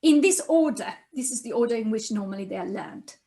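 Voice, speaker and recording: A woman with a clear Italian accent teaches a beginner class over a webcam from home. Her voice sits high, and she takes her time, spelling out each sound patiently so that learners can repeat after her. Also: lively intonation, a little fast, dark tone. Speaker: university Japanese lecturer. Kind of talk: recorded online lesson.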